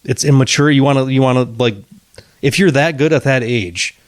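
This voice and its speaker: A man of middling pitch talks on a podcast in a dry, close sound. He picks his way through sentences, restarting often and dropping the odd casual curse. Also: normal speed, no room sound. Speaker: podcast co-host. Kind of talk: casual chat about music.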